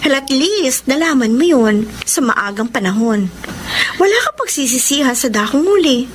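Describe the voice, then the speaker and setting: Girlish filipina bestie in radio drama, teasing n supportive, high pitched, noisy audio. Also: moderate pace. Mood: reassuring, firm. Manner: animated, girlish, bantering. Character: warm, teasing, supportive young woman best friend.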